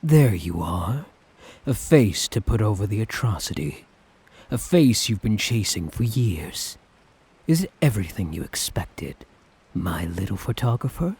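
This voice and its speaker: Husky, slow-talking suave tyrant, acted by a man. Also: fairly low pitch, big pitch swings, darkish tone, exaggerated voice. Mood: smug, teasing. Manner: teasing, theatrical, condescendingly affectionate. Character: suave, sadistic, doting male tyrant, obsessively in love. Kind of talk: ASMR roleplay acting.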